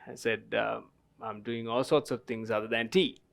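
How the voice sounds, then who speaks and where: A man's voice: mid-range voice, fairly even intonation, moderate pace, creaky. A man in his thirties from Sri Lanka, a guest at a tea festival panel, telling his story with lots of fillers and the odd laugh. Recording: live festival stage talk.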